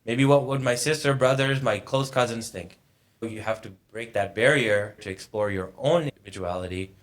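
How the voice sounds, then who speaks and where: A man in his late twenties from Malaysia, a mortgage advisor talking as a guest in a relaxed, reflective way. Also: mid-range voice, moderate pace. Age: late 20s. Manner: thoughtful, reflective, easygoing storytelling. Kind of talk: casual interview conversation.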